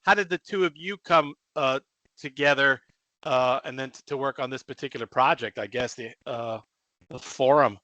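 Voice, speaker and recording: A man hosting an informal radio interview, speaking in a halting, self-deprecating way. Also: mid-range voice, bright, forceful tone, no echo, muffled recording. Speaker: radio show host.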